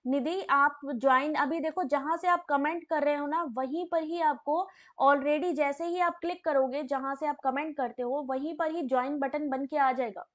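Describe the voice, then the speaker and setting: A woman with a high voice, teaching a live online exam class while hoarse from a sore throat. She reads quiz questions and answers, then turns to chat messages and calls viewers by name in a friendly, talkative way. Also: steady tone, normal speed. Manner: chatty, addresses viewers by name.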